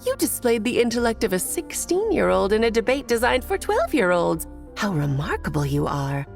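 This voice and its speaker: A woman's voice: fairly high pitch, big pitch swings, moderate pace, gravelly, faint music behind. A woman voicing a breezy, theatrical witch in a video game, smugly teasing in her delivery. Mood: sarcastic, smug. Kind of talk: video game cutscene voice acting.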